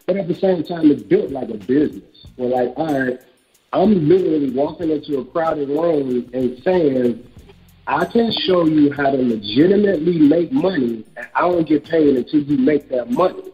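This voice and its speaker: A man in his thirties from Chicago, an author and real estate broker, speaking candidly and reflectively in a casual interview. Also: on the high side. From Chicago, USA. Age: 30s. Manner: candid, reflective, speeds up when quoting himself. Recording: dull lo-fi audio with faint music.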